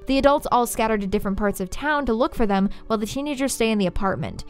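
Female video essayist, fairly high voice, dramatic scripted narration with deliberate pauses, music bed. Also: animated. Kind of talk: scripted story analysis narration.

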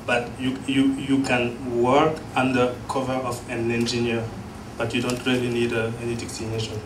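A man in his thirties, an engineer on a panel with a handheld mic, speaking plainly with halting restarts. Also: medium pitch, monotone. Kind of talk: panel discussion. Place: classroom panel table. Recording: distant echoey room with noise.

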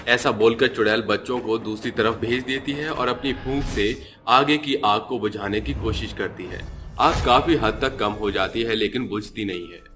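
Low-voiced male storyteller. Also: moderate pace, noisy background. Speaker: narrator. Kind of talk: scripted story narration.

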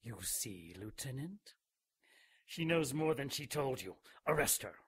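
Husky, menacing old churchman. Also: medium pitch, very animated, unhurried, no room sound, studio quality, heavily acted. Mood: cold, menacing. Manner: formal, cold, imperious. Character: menacing, scarred old vicar of a secretive holy order. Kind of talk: radio drama acting.

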